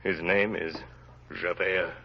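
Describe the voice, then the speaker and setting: An actor playing a tender, anxious father on the run in an old radio drama, speaking in a hushed, grave, urgent way. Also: low voice, level tone, takes it slowly, noisy background. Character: tender, anxious fugitive father protecting his little girl. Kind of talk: 1930s radio drama acting. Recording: dull lo-fi old radio recording.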